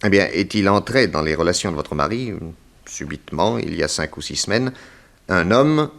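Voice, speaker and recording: A man playing a courteous, persistent private detective in a 1960s French radio drama, polite, measured and probing. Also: deep voice, expressive, creaky, fairly bright tone, heavily acted.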